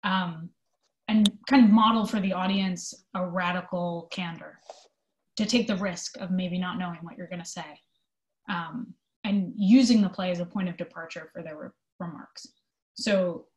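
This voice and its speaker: Lowish woman's voice, echoey room, earnest and thoughtful, chatting thru an interview casually. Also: a little mellow. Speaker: theater company manager being interviewed. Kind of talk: conversational interview answers.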